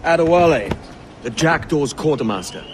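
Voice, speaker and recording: An actor playing a confident, ambitious young pirate captain in a video game cutscene. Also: male voice, on the high side, animated, normal speed, noisy background, lightly acted. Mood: brisk, friendly.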